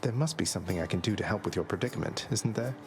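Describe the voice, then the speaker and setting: An actor playing a young underworld prince in a video game, courteous, dry and earnest in an understated way. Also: male voice, on the low side, animated, very fast, natural voice. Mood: concerned. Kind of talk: video game character acting.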